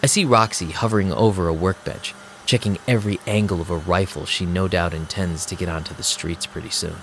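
An actor playing a young vampire DJ who narrates an audio drama in a casual, streetwise and sarcastic way. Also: male voice, deep voice, steady tone, brisk, a little hiss or hum. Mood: uneasy, brooding. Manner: casual, wry. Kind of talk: first-person audio drama narration and dialogue. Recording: music behind the voice.

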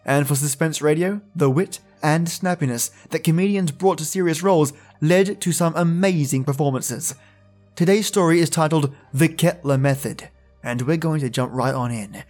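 A man hosting a podcast, reading scripted spooky storytelling narration in a theatrical way with dramatic pauses. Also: on the high side, darkish tone, faint music behind.

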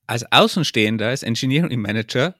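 An Austrian-accented man co-hosts a podcast in a casual, conversational style. His voice sits at a middle pitch, and the sound is dry with very little room. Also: expressive, quick pace, bright, forceful tone, dry recording. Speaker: podcast co-host.

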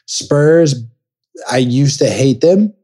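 A man's voice: medium pitch, lively intonation, slow, mellow. A young man from Texas, a podcast guest chatting casually and telling rambling stories with acted-out quotes. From Dallas, Texas. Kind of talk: casual chat.

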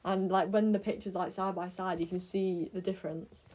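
A woman's voice: on the low side, steady tone, normal speed, darkish tone. A young woman chatting casually with friends, dropping dry, teasing remarks. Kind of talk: casual chat among friends.

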